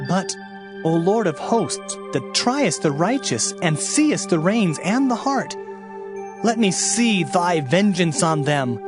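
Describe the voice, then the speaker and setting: Solemn, higher-pitched prophet of doom, played by a man. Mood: defiant, trusting. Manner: solemn, declamatory, archaic phrasing. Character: anguished, fiery Hebrew prophet pronouncing doom. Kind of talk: dramatised scripture reading.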